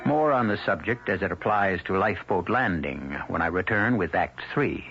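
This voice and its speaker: A man hosting a radio mystery drama, narrating in a measured, explanatory way. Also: low voice, expressive. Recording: dull lo-fi old radio broadcast with music.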